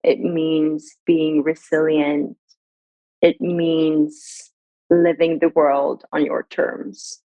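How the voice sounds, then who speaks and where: Low, husky-edged woman, muffled and dry. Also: flat delivery, dry recording. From Sweden. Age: young adult. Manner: thoughtful, measured, with frequent "um" and "you know". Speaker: guest, brand director. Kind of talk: podcast interview.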